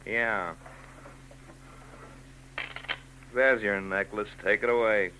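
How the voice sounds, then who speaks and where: An actor playing a skeptical homicide detective lieutenant in 1940s radio-drama style, terse and questioning. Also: male voice, on the low side, fairly even intonation, a little slow, sharp tone, slight background noise, low-quality recording. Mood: wary. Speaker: police lieutenant.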